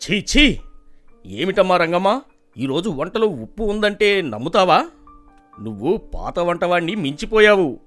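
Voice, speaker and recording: A man voicing an imperious village landlord in an animated moral story, bossy and exclamatory, effusive when pleased and quick to rage. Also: sits high, soft background music, voice pushed up, full character voice. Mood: outraged.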